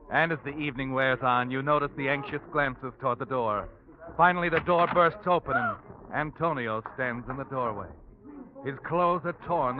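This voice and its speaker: An American actor playing an ominous, all-knowing storyteller, narrating dramatically and deliberately in 1940s radio suspense style. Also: male voice, on the high side, close to an everyday voice. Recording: old radio, phone-like band, music behind.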